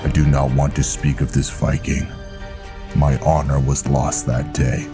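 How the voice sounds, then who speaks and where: A man's voice: a bass voice, little pitch movement, measured pace, music in the background, voice pushed down. A man voicing a grave, terse, honor-bound vampire knight commander in a game roleplay. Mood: grave, resolute.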